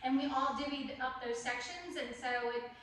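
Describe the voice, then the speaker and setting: High bright-voiced woman rambling with lots of ums, echoey room, mic far off. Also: normal speed, slight background noise. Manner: chatty, many ums and restarts. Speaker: property office staff member. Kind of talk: casual team video presentation.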